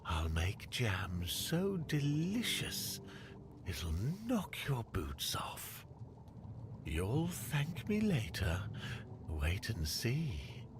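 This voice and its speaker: An actor playing a kindly, eccentric forager in a fantasy game, musing aloud in a folksy way. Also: male voice, on the low side, wildly swooping pitch, extremely slow, exaggerated voice. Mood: cheerful, musing. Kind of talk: fantasy game character acting. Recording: clear ambient noise behind.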